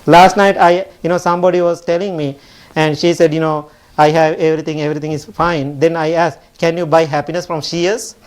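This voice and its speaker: A man's voice: a little high, level tone, a little fast. A man from Sri Lanka, a Buddhist monk giving a dharma talk, often asking "right?" and laughing lightly. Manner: warm rhetorical asides, often asks "right?", laughs lightly. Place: temple hall.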